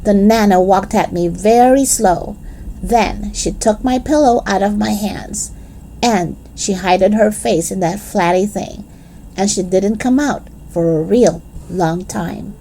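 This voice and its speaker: A woman reading a children's storybook aloud in expressive scripted narration. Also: medium pitch, takes it slowly, poor audio. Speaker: children's book reader.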